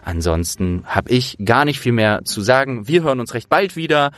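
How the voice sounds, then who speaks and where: A man's voice: mid-range voice, very animated, moderate pace, a bit bright, no room sound. A man hosting a podcast in a casual, chatty way, feeling for his words as he goes. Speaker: podcast host and producer.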